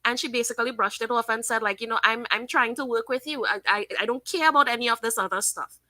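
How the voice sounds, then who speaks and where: A woman hosting a casual live stream, giving her opinions and reading viewers' comments aloud in a chatty, thinking-out-loud way. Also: on the high side, fast talker, dry recording. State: sniffly from sinus trouble. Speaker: livestream host.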